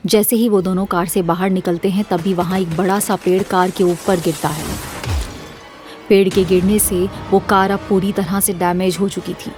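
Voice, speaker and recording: A woman narrating a scripted horror story in a measured, dramatic storytelling manner. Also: fairly low pitch, fairly even intonation, darkish tone, soft background music.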